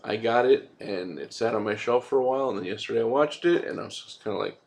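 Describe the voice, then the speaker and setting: A man's voice: medium pitch, fast. A man hosting a movie review vlog, rambling casually in an informal, laid-back way with some swearing.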